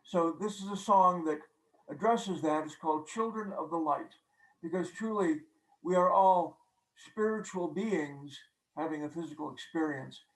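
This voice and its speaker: A man's voice: fairly high pitch, a little slow, rough recording. An older man speaking conversationally as a guest, telling stories and explaining things over a video call. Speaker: guest author and singer-songwriter.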